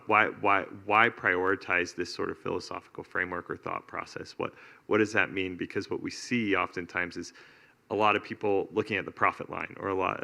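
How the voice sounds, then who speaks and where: A man's voice: low voice, gravelly, pushed and bright. A man moderating a panel discussion, asking long, searching questions with frequent restarts.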